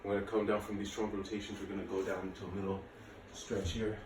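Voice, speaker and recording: Male fitness instructor, late 30s, very low voice, informal and reassuring, recorded at a distance from the mic. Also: level tone, quick pace. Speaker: martial arts and fitness instructor. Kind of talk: casual workout instruction to camera. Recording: echoey room, distant mic, faint noise.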